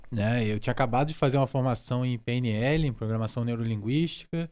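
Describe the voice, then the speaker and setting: A man's voice: mid-range voice, fast talker, a little mellow. A Brazilian man talking casually and reflectively as a podcast guest, feeling his way through his thoughts. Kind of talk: casual conversational interview.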